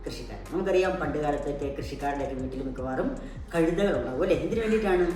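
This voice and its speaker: Low-pitched woman storyteller in a very echoey space. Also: animated, very fast. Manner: teacherly, question-and-answer, repeats key words. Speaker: puppet show host, storyteller. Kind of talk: storytelling for children. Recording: very echoey, distant mic, background music.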